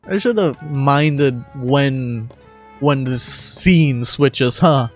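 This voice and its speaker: A woman's voice: rumbling low, drawn-out words, faint music behind. A woman streamer who does amateur voice acting, chatting casually between takes in a sweary, self-deprecating way.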